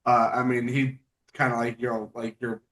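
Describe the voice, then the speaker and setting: A man hosting a casual interview, putting his questions in a halting, loosely worded way. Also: mid-range voice, flat delivery, fairly bright tone. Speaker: podcast interviewer.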